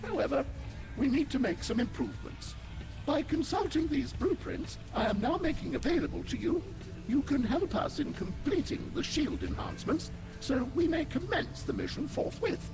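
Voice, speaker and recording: Game alien scientist, very high voice, wildly swooping intonation, formal, pedantic, fussy, music behind. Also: male voice, big pitch swings, normal speed, exaggerated voice, low-quality recording. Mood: earnest, explanatory. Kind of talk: scripted video game character acting.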